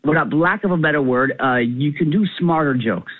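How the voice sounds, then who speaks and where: A young adult man from Canada, a comedian guest chatting in a radio phone interview, animated and laughing, with many restarts. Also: a little high, moderate pace. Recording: tinny phone line.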